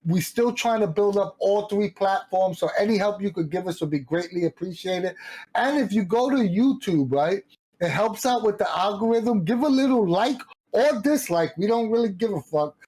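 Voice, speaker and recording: A man's voice: high-pitched, a little mellow, low-quality recording. Informal, slangy man plugging things. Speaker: host of a gaming community stream. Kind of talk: casual chat with promotional plugs.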